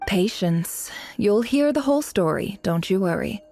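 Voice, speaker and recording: A woman's voice: mid-range voice, expressive, unhurried, darkish tone, soft background music. An actress voicing a proud, lazy, sardonic young woman boss in a video game, with an arch and teasing delivery. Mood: wry, bossy. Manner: arch, teasing, self-satisfied. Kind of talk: English video game dub acting.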